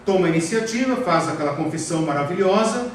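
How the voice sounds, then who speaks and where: A man's voice: high-pitched, animated, fast. A man with a Brazilian Portuguese accent teaching a theology class, often tagging questions onto his sentences and repeating words for emphasis. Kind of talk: classroom lecture. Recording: very echoey room, voice far from the mic, faint noise.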